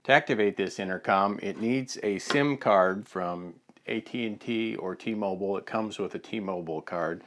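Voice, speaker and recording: An American man in his fifties giving a plain, halting instructional product demonstration on a lapel mic in a studio. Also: fairly low pitch, fairly bright tone. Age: 50s.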